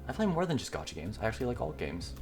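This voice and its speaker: A man's voice: mid-range voice, huge pitch swings, racing, gravelly, faint music behind. A man voicing a stubborn, playful young fox girl obsessed with games, in casual teasing banter. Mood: earnest.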